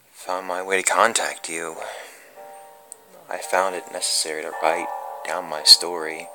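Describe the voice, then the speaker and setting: A man's voice: low-pitched, bright, forceful tone, exaggerated voice, poor audio. A man playing a melancholy, tormented dead puppeteer in a creepy horror story reading, with brooding theatrical delivery. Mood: despairing. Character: creepy undead puppeteer, melancholy tormented young man's ghost. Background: music.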